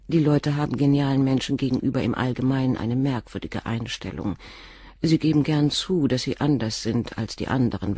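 A husky, composed doctor's wife. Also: female voice, very deep voice, dark tone, dry recording, studio quality. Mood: earnest. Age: 40s. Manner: measured, frank, controlled confession. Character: composed middle-aged doctor's wife, former nurse. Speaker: witness questioned by the inspector. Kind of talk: radio drama acting.

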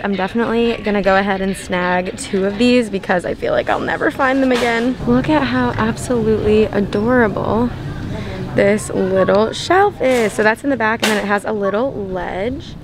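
A woman's voice: mid-range voice, noisy background. A young woman narrating a casual shop-with-me video in a large store, bubbly and gushing, with many "like" fillers, recorded on a handheld phone. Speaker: shopping vlogger. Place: large retail store, indoors. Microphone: handheld phone camera.

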